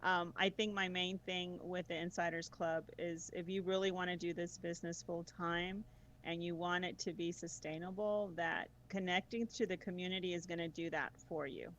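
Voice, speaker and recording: A woman's voice: fairly low pitch, level tone, moderate pace, fairly bright tone, muffled recording, slight background noise. A woman guest chatting casually about tutoring, enthusiastic, laughing often and using many fillers. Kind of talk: casual conversational chat.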